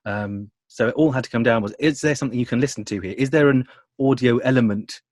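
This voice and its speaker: A man's voice: medium pitch, fast talker, a little mellow, no echo, clean studio sound. A British man speaks in a mid-range voice with very little room sound. He explains his work as an interviewed producer in a conversational way, with a dryly humorous edge. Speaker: radio drama adapter and producer being interviewed.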